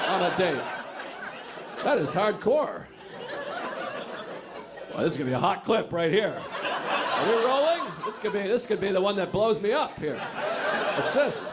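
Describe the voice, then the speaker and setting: High-pitched, animated stand-up comedian. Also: male voice. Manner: teasing, animated, laughing through his lines. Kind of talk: stand-up crowd work. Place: comedy club stage with a live audience. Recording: echoey club with loud crowd noise.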